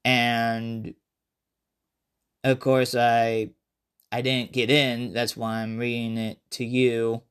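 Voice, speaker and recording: A man hosting a horror podcast alone, talking casually and haltingly, often repeating and restarting his phrases. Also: medium pitch, flat delivery, clear, resonant tone. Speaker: podcast host and amateur horror writer. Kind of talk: casual solo podcast talk.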